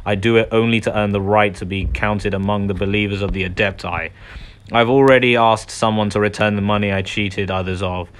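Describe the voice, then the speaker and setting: A man's voice: on the low side, fairly even intonation, fairly quick, fairly bright tone, clean tone. A man hosting a game playthrough, commenting and reading dialogue aloud, with game music behind. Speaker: gaming video host. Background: game music, faint.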